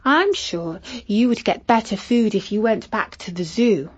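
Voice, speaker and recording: A woman voicing a brave young girl who can do magic, speaking brightly and in a lively way. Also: mid-range voice, a little slow, husky, dull audio.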